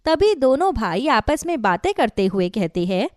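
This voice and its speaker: A woman's voice: a little high, very animated, normal speed, darkish tone, dry recording, studio quality. A woman narrating a scripted children's cartoon story.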